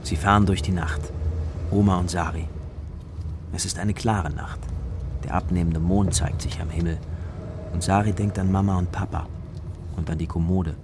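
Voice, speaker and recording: A man narrating a children's radio play as a calm, watchful storyteller in short, measured sentences. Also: deep voice, background noise.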